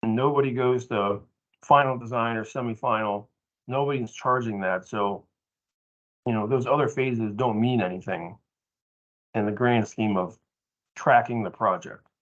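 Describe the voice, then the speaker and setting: A man informally explaining a point at a workplace training meeting, halting at times and emphatic. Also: medium pitch. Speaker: training participant adding comments. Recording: dull lo-fi meeting audio.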